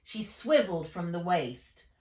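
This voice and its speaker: Low-voiced, unhurried woman reading a story. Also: very animated, darkish tone. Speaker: author reading own book aloud. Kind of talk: audiobook narration of a children's adventure novel. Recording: echoey room.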